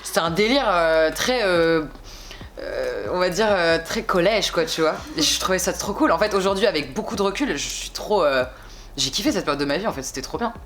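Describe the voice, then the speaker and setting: A young woman in her twenties from France, a singer chatting casually in an interview, relaxed and slangy, rambling with frequent little asides. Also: on the low side, fairly quick, slight background noise. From France (Bordeaux).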